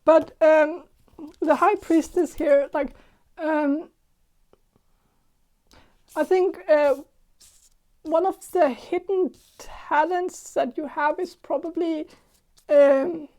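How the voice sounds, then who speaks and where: A woman with a Danish accent, Icelandic by mother tongue, giving a casual tarot reading in a throaty, halting voice that searches for words. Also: high-pitched, fairly even intonation, a little mellow. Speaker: tarot reader.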